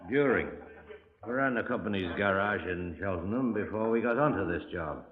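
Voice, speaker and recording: An actor playing an easygoing middle-aged ex-navy mechanic with a British accent, casual and matter-of-fact, in a 1950s radio drama. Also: male voice, lowish voice, lively intonation, normal speed, close to an everyday voice. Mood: easy, chatty. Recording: tinny, echoey old 1950s radio recording.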